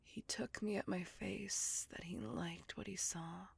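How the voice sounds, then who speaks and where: A woman voicing a melancholy, bitter female vampire, telling her life story in a breathy, intimate whisper as an ASMR roleplay monologue. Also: mid-range voice, steady tone, full character voice. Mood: reflective, subdued. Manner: slow, intimate, confessional storytelling. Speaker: voice actor in audio roleplay.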